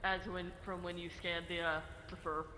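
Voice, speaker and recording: A woman running an improvised roleplay as game master, narrating haltingly and thinking aloud over voice chat. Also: on the low side, level tone. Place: online VR voice chat. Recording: echoey room, faint noise, voice chat.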